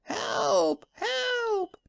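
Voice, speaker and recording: A reader voicing a frantic man who shouts wildly for help. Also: high-pitched, expressive, takes it slowly, creaky, pitched above the performer's own voice, heavily acted. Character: frantic shouting man, the fretful woman's husband.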